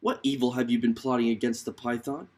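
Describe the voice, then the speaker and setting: A man's voice: mid-range voice, flat delivery, a little fast, voice pushed down, lightly acted. Storybook lion king, male, commanding, declamatory, authoritative judge, voiced by a man. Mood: stern, declaring.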